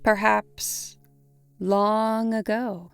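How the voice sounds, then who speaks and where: A woman narrating a guided imagery meditation in a gentle, soothing way, in short deliberate phrases with long pauses. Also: mid-range voice, little pitch movement, creaky, clean tone, no room sound. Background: instrumental music.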